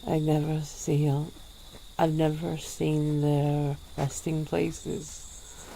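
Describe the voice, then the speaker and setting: A woman voicing a centuries-old female vampire in an ASMR roleplay, speaking in a slow, drawn-out, purring way. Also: little pitch movement, gravelly, slight background noise, full character voice, rough recording. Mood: languid. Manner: slow, low, drawn-out purring delivery with soft laughs.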